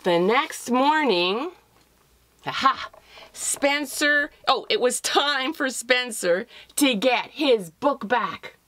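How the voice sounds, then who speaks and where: A middle-aged woman narrates a picture book for young children. She is expressive and very unhurried, drawing out phrases in a highish voice. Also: slight background noise. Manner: expressive, deliberate, with drawn-out phrases. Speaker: author reading her picture book aloud. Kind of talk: children's storybook read-aloud.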